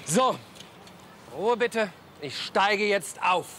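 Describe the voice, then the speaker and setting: An actor playing a pompous, boastful middle-aged teacher in a TV series, commanding and self-important. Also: male voice, high-pitched, animated, drawn-out words, pushed and bright, slight background noise, exaggerated voice. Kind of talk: TV series acting. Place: outdoor castle courtyard. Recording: outdoor location sound with background ambience.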